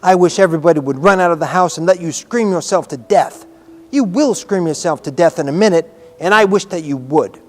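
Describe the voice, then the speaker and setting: A man's voice: a little high, brisk, in a large hall, faint music behind, pitched above the performer's own voice, lightly acted. A man voicing a fierce, sour, hot-tempered young girl in a storybook, scolding emphatically. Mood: furious shouting.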